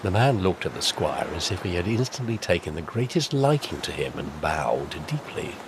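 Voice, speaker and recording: A man's voice: sits low, very animated, moderate pace, a little hiss or hum, rough recording. A man narrating a scripted audio drama as a dramatic storyteller. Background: music.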